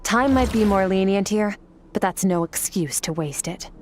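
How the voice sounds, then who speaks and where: A woman voicing a calm, aloof female warrior mentor in a video game, speaking in a terse, matter-of-fact way. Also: medium pitch, moderate pace, slight background noise, natural voice.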